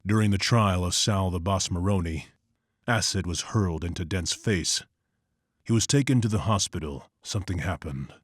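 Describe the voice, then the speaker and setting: Deep, husky, brooding vigilante. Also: male voice, dry recording, clean studio sound. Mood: grim, brooding. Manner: terse, measured, somber. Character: grim, brooding male masked vigilante narrating his case. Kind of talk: scripted dramatic narration.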